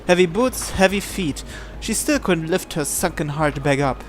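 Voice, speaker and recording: やや高めの声で朗読する男性. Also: male voice, on the high side, animated, moderate pace. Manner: stumbles and repeats words while reading. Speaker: gamer translating a visual novel aloud. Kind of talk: live read-aloud narration in English. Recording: faint music behind the voice.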